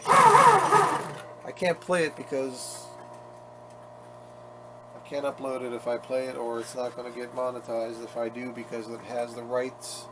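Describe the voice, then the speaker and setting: A man's voice: medium pitch, big pitch swings, unhurried, pauses often. A man talking casually as he works on a leather restoration, chatty and self-mocking. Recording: workshop noise behind the voice.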